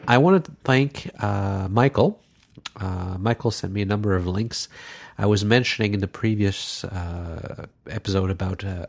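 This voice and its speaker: A man hosting a podcast while congested from a cold, talking casually and haltingly with many pauses. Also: sits low, vocal fry, slightly rough. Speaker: podcast host.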